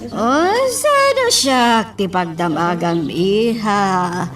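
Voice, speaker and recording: A middle-aged Filipina mother in a radio drama, concerned and questioning. She speaks slowly with lively intonation, a little away from the mic. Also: medium pitch, distant mic, music in the background.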